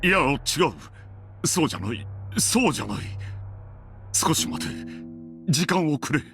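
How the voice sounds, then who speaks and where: A man's voice: on the high side, sing-song, fast talker, fairly bright tone. An actor playing a shaken, overbearing middle-aged heir in a visual novel, commanding and insistent in his agitation. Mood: agitated, commanding. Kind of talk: Japanese visual novel voice acting. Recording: music behind the voice.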